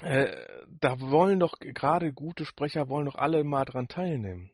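A man's voice: a little high, normal speed, breathy edge, no echo, clean studio sound. A man co-hosting a podcast, giving his opinions in casual, unscripted chat. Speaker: podcast co-host.

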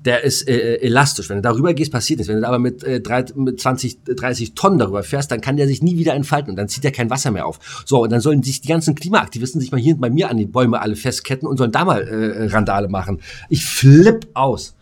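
A man talks as a podcast co-host in a loose, colloquial way. His pitch sits in the middle of the male range. He is blunt and swears freely as he banters and rants. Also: animated, quick pace, few pauses. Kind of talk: casual podcast banter and ranting.

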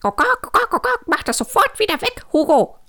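A woman's voice: very high-pitched, sing-song, a little fast, sharp tone, voice pushed up, exaggerated voice. A woman voicing an indignant, fussy mother hen in a children's storybook, with clucking, squawking outbursts. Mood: outraged.

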